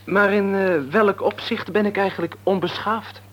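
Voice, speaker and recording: A cheeky, higher-voiced nineteen-year-old lad. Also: male voice, fairly even intonation, normal speed. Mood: teasing. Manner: breezy, teasing, bantering. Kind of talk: Dutch radio play acting. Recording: old radio drama recording.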